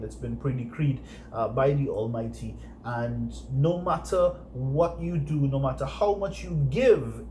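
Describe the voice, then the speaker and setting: A man in his thirties hosts a relaxed home chat, earnest and urging, often pausing on fillers. His voice sits a little high yet sounds mellow, and the room gives it an echo. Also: a little hiss or hum. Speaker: host. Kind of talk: casual chat with religious reflection. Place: living room set, indoors.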